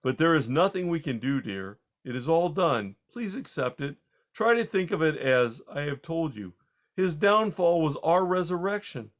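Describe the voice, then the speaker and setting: Calm, firm husband, read by a man; measured and quietly patronizing, high-ish voice. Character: calm, firm husband consoling his wife.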